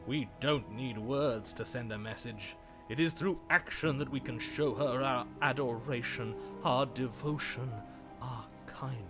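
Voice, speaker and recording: A theatrical storyteller for a dark fantasy game, played by a male actor. He speaks deliberately and with literary flourish at a middling pitch. Music plays behind him. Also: close to an everyday voice. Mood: romantic, impassioned. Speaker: game narrator. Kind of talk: dramatic scripted game narration.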